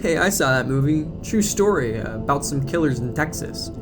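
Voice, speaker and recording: A man's voice: a little high, lively intonation, normal speed, over music, natural voice. A man voicing an earnest, fussy, brotherly young small-town deputy in an audio drama. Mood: friendly.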